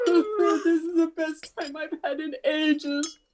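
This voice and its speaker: A woman's voice: high voice, takes it slowly, crowd noise. A woman playing a confident, scatterbrained housewife in breezy old-time radio comedy style, recorded at home over a video call. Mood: tearful hilarity.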